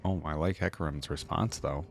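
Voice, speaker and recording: A man's voice: extremely low pitch, fairly even intonation, normal speed, over loud music. A young man hosting a reaction video, commenting casually as he goes.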